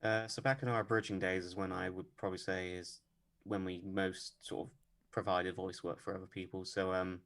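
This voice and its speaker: A man guesting on a podcast, talking about his animation work in a deadpan, measured way with many pauses and ums. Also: low voice. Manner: measured, lots of ums and hedges. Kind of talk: casual interview chat.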